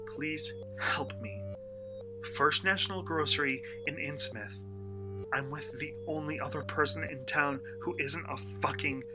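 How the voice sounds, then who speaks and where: A man's voice: medium pitch, close to an everyday voice. An actor playing a desperate, frightened man in hiding from monsters, urgent and faltering, in a horror audio drama.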